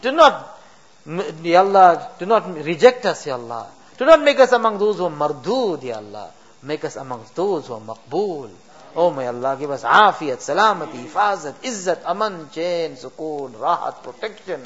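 A man's voice: on the high side, big pitch swings, normal speed, a bit bright, slightly rough, muffled recording. Pleading man leading a mosque prayer. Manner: pleading, rising to impassioned cries. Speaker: imam leading a supplication.

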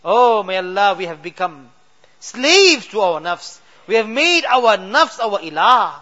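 A man's voice: high voice, big pitch swings, unhurried, sharp tone. A man leading a fervent late-night supplication as an imam, pleading with constant repeated refrains. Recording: dull lo-fi recording.

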